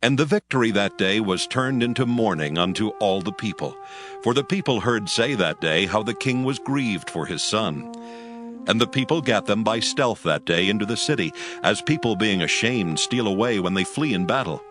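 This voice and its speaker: A man's voice: fairly low pitch, expressive, moderate pace, music in the background. A man narrating scripture from a script in a solemn, storytelling manner.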